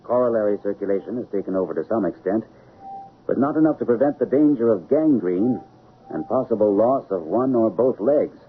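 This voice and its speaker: An actor playing an authoritative chief surgeon in an old radio drama, giving clipped commands and measured, formal explanations. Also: male voice, fairly low pitch, animated, lightly acted. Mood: formal, instructive. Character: composed, authoritative male chief surgeon lecturing while operating. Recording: narrow, noisy old radio recording.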